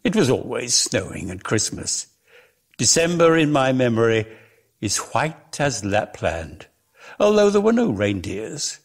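A man giving a dramatic reading of a literary story in a measured, theatrical way, lingering on his words. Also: medium pitch, big pitch swings. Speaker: reader.

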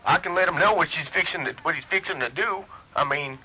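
A man playing a crude, slow-witted, easily irritated redneck stoner on a prank phone call, with folksy drawling, muddled wording and swearing. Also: a little high, pushed and bright, breathy edge, full character voice. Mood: folksy, rambling. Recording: noisy telephone line recording.

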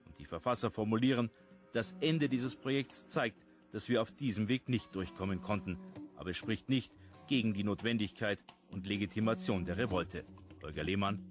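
An actor playing a sober radio news correspondent delivering a scripted report. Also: male voice, fairly low pitch, moderate pace, faint music behind, close to an everyday voice. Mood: sober, factual.

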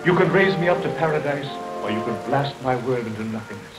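A man's voice: mid-range voice, animated, quick pace, close to an everyday voice, low-quality recording. Desperate suitor, male actor: earnest, florid, pleading, sweeping intonation, vintage film sound with prominent music. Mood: pleading, ardent. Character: lovesick, desperate, scheming gentleman suitor. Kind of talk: 1930s melodramatic film acting. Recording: vintage 1932 film soundtrack under rain. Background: rain, storm, music.

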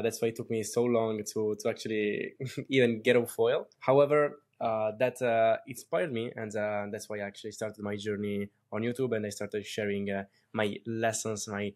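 A man's voice: fairly low pitch, a little mellow. A man in his twenties from Italy with an Italian accent, co-hosting a podcast over a video call and talking in a friendly way with many fillers, from a small room at home. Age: 20s. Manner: friendly, lots of filler words and light laughs. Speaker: podcast co-host. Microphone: video call with earbuds.

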